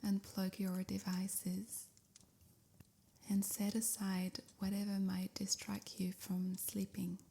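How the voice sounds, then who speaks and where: Woman, soft breathy near-whisper, very slow, mellow and creaky, soothing meditation guide. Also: medium pitch, completely flat delivery. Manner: soothing, unhurried, measured phrases. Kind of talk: guided sleep meditation, scripted.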